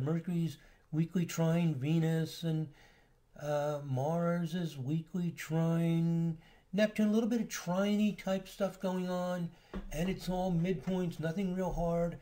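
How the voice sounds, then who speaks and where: A man talks through a slideshow lecture in an easygoing, explanatory way, stopping here and there on small fillers. His voice sits somewhat high for a man yet sounds warm and mellow rather than bright. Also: measured pace. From United States. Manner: relaxed, explanatory, with filler words. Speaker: astrology lecturer.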